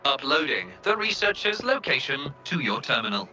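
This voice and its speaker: Bright, formal robot assistant. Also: male voice, medium pitch, sing-song, normal speed, faint music behind. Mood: formal, matter-of-fact. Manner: stiff, formal, clipped protocol announcements. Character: formal robot assistant, dutiful, proud of itself. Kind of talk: video game voice acting.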